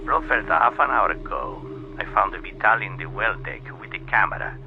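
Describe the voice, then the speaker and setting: A sinister criminal mastermind at sea, low-voiced and deliberate, cold-blooded in his menace. It is radio drama, heard through a muffled, noisy radio link. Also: lively intonation. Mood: alarmed.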